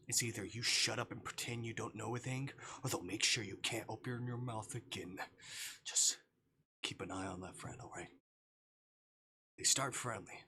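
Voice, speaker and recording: A man voicing an older, earnest train passenger in a visual novel, awkwardly chatty and gravely cautionary. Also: lowish voice, slight background noise. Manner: hushed, earnest, gentle urgency.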